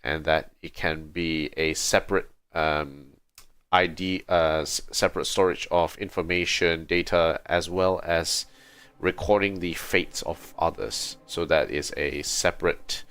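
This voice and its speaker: A man giving casual livestream commentary on a game, musing aloud in a halting way. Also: deep voice, fairly even intonation, fairly bright tone, clear, resonant tone. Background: faint game music.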